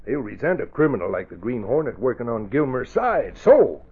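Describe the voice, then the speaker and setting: Through a tinny old radio broadcast comes a gloating crooked boss, his voice husky and gravelly. He swings his pitch widely as he boasts and schemes. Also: sing-song, brisk. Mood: gloating, scheming. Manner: smug, boastful. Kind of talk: old-time radio drama acting.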